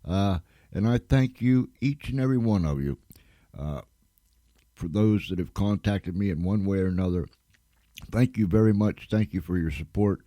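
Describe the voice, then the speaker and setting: A man's voice: sits low, moderate pace, mellow, no room sound, studio quality. A man hosting an informal live radio show, speaking to listeners in a folksy, effusive way and repeating phrases for emphasis. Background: music.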